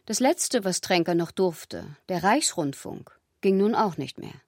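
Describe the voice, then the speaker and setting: A woman narrates a radio play from a script. Her voice sits a little low, and the sound is dry, like a studio. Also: big pitch swings, a little slow, no echo.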